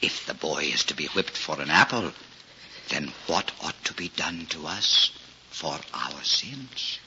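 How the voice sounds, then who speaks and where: An actor playing a kindly, pious old Russian cobbler in a radio drama, speaking haltingly in folksy, old-fashioned phrases. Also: male voice, very deep voice, lively intonation, pushed and bright, exaggerated voice. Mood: gently pleading. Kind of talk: 1940s radio drama acting. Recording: muffled, noisy 1940s radio recording.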